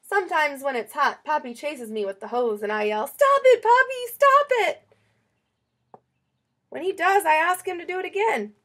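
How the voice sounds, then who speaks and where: A woman reading a children's picture book aloud in an expressive, storytelling way. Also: high voice, brisk, hardly any pauses. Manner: expressive, acts out quoted lines.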